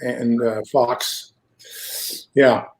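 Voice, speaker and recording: A man's voice: mid-range voice, little pitch movement, very slow, gravelly. A man, a hockey broadcaster, chatting casually and rambling with plenty of fillers on a video call. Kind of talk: casual chat.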